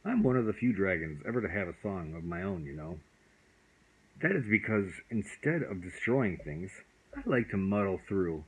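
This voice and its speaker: A cheerful magic dragon from a bedtime story, acted out by a man. The voice is husky and swings freely up and down. It chatters with grand whimsy and kindly reassurance. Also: low voice, big pitch swings, normal speed, heavily acted, rough recording.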